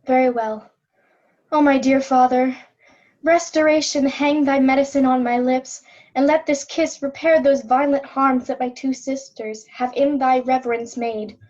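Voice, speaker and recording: A woman's voice: high-pitched, steady tone, measured pace, a little mellow. A woman playing a tender, grieving princess devoted to her father in a Shakespeare reading, recorded lo-fi. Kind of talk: readers' theatre Shakespeare. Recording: dull, lo-fi sound.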